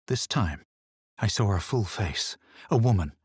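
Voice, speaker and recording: A man voicing a haughty, self-assured fantasy adventurer in a video game, measured and superior in manner. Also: fairly low pitch, animated, a little mellow, slightly rough, dry recording, studio quality, heavily acted. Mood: brooding, composed. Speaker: video game player character. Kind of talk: scripted game voice lines, narration and spell incantations.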